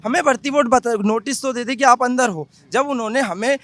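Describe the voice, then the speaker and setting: A man's voice: very high-pitched, a little fast, fairly bright tone, no room sound. A man in his twenties, a job candidate, complaining to a reporter in a distressed, pleading manner. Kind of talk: street interview complaint.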